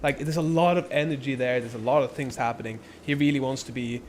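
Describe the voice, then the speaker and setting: A man's voice: mid-range voice, fairly even intonation, fast, a little mellow, a little hiss or hum. A young man born in Norway, chatting casually and rambling on his live stream, swearing freely. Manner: rambling, laid-back, lots of filler and swearing.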